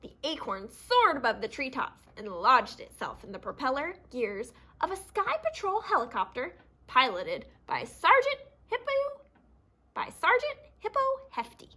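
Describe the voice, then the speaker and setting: High-voiced woman reading a storybook, animated. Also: unhurried. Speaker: storybook reader. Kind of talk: expressive scripted children's read-aloud.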